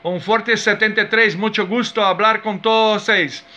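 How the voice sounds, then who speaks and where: A man's voice: high voice, steady tone, moderate pace, sharp tone. A man from Brazil with a Brazilian Portuguese accent, making a friendly, casual ham radio contact and giving call signs. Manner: friendly, cordial. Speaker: amateur radio operator.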